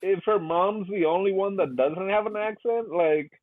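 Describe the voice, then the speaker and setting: A man's voice: high-pitched, moderate pace, dry recording. Tinny-sounding man rambling about a film. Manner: rambling, laughing, many "like" fillers. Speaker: podcast co-host. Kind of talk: casual movie review chat.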